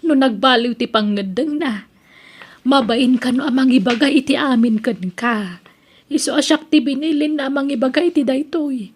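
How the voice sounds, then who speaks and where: A woman acting a high-voiced frank Ilocano young woman. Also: moderate pace, slight background noise, natural voice. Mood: apologetic. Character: frank younger woman advising an older mother. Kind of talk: Ilocano comedy drama acting.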